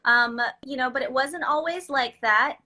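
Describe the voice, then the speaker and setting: A woman's voice: sits high, moderate pace, pushed and bright. A woman in her thirties from the Philippines, a beauty business owner chatting as a guest in an interview, laughing often and restarting her sentences. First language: Filipino. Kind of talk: casual interview chat.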